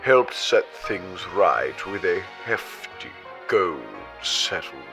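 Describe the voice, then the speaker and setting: A satisfied customer acted by a man gives a deliberate testimonial for a parody ad. His voice is deep and his pace slightly slow, with soft music behind. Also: animated, exaggerated voice. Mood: solemn gratitude. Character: satisfied male client giving testimonial.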